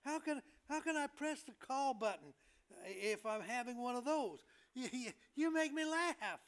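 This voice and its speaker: An older man playing a flustered, put-upon hospital patient in an amateur play reading, stammering through halting protests. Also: extremely high pitch, expressive, a bit bright, heavily acted. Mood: indignant.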